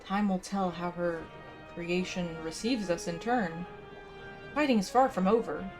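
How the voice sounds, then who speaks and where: A woman's voice: fairly low pitch, measured pace. A woman hosting a casual game playthrough, chatting and reading quest text aloud. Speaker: gaming video host. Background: game music.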